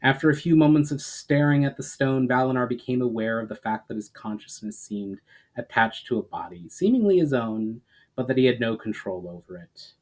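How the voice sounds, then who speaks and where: A man reading fantasy fiction aloud as a dramatic narrator, in a measured delivery with the odd stumble. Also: mid-range voice, expressive. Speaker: author reading own story.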